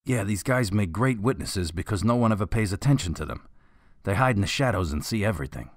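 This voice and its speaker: An actor playing a practical, wearily doubtful police officer in a radio drama, with a casual, blunt, wry delivery. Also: male voice, fairly low pitch, animated, fast talker, dry recording, studio quality.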